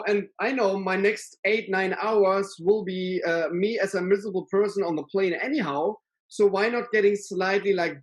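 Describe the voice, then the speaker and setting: A man in his forties with a German accent, co-hosting a casual chat and telling stories with lively gestures from his living room over a video call. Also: sits high, rough recording. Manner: animated storytelling with lively hand gestures. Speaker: podcast co-host. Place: living room with bookshelves and a painting.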